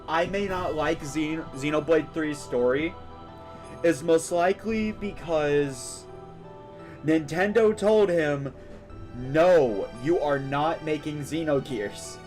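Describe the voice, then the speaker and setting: A man with a somewhat high voice streams a video game and talks casually over clearly audible music. He rambles through his opinions in an informal, conversational way. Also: darkish tone. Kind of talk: casual live-stream commentary while playing a game.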